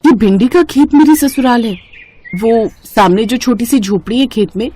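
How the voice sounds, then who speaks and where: A woman's voice: fairly high pitch, moderate pace, mellow, natural voice. Woman as defiant, dramatic young bride. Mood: dismayed, defiant. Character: defiant, ambitious young bride dreaming of film stardom. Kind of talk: Hindi moral cartoon story acting.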